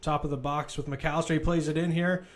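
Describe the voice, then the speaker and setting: A man's voice: on the high side, fairly even intonation, fairly quick. A man giving live football play-by-play commentary, describing the action as it unfolds in short, clipped phrases. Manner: running present-tense description in clipped phrases.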